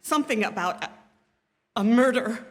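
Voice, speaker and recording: Radio play role: agitated, demanding married woman, high-pitched and exclamatory, long hall reverb. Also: animated, moderate pace, a bit bright. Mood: frantic, horrified. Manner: agitated, insistent, haughty, exclaiming. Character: anxious, imperious, demanding married woman on the telephone. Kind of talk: stage radio drama acting. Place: theatre stage before an audience. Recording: live theatre stage with long reverb.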